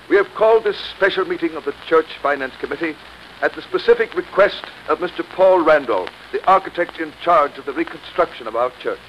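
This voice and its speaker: Stuffy meeting chairman, played by a man: highish pitch, formal address, faintly hissy vintage broadcast. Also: lively intonation, moderate pace, dull audio, natural voice. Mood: officious. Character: formal, officious male church committee chairman. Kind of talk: 1930s radio acting. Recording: distant-sounding vintage 1930s radio, hiss.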